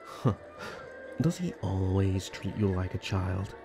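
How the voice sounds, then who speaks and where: A man playing a flirtatious, teasing rival elf prince in a fantasy audio drama, with a smooth, mocking courtesy. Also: sits low, animated, slow, over music, exaggerated voice.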